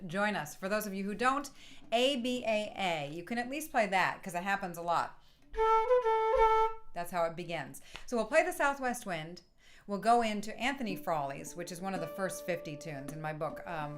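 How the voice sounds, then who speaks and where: A woman's voice: on the low side, moderate pace, fairly bright tone, faint music behind. A woman leading an online tune-playing session, chatting in a friendly, explanatory way with frequent ums. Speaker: session leader and music teacher. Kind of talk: casual online session chat.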